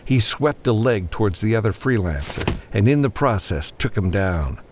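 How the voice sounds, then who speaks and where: Deep, expressive male audiobook narrator. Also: background noise. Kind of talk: dramatic scripted fiction narration.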